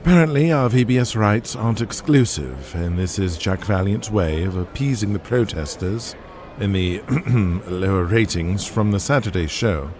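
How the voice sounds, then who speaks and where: A man's voice: lowish voice, animated, normal speed, a little mellow, lightly acted. An actor playing a businesslike wrestling executive briefing his boss in a matter-of-fact way. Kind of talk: audio drama acting.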